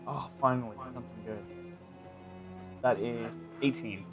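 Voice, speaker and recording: A man's voice: medium pitch, steady tone, normal speed, over music. A man chatting casually as a player in a tabletop role-playing game.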